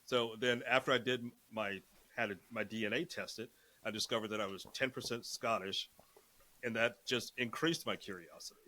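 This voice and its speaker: A man's voice: fairly low pitch, fairly even intonation, moderate pace, pushed and bright. A man hosting a podcast, asking his guest questions in a conversational way. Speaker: podcast host. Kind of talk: podcast interview.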